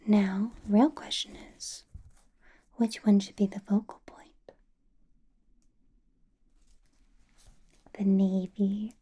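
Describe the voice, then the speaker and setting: A woman playing a doting, possessive female vampire boss in an intimate ASMR roleplay, coaxing and flirting in a breathy whisper. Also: mid-range voice, flat delivery, measured pace, mellow. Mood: adoring, flirtatious. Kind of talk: ASMR roleplay, personal attention.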